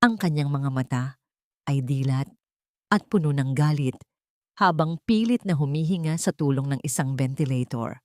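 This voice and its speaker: A woman's voice: deep voice, animated, normal speed, mellow, dry recording, clean studio sound. A woman narrating a scripted drama story in a dramatic style.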